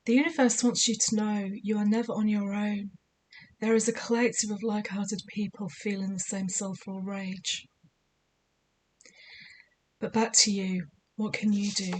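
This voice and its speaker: Slightly croaky woman giving calm spiritual guidance. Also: medium pitch, normal speed, a little hiss or hum, low-quality recording. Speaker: spiritual guide. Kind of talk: scripted spiritual guidance talk.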